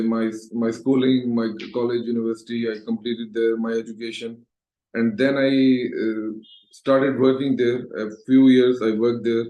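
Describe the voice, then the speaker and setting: A man's voice: mid-range voice, dark tone. A middle-aged man with a Pakistani accent, telling his own story conversationally as an interview guest. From Lahore, Pakistan. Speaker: interview guest, Toastmaster educator. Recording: echoey, roomy voice sound.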